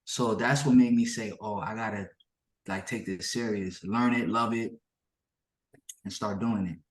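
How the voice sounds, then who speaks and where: A man's voice: fairly low pitch, steady tone, measured pace, echoey room. A man whose native language is Yoruba, a musician giving a casual interview in informal, slangy speech, often repeating himself.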